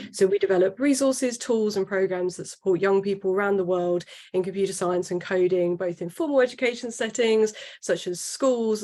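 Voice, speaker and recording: A woman panelist introduces herself in a fluent, polished manner. Her voice is mid-pitched and even. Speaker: head of product design, panelist.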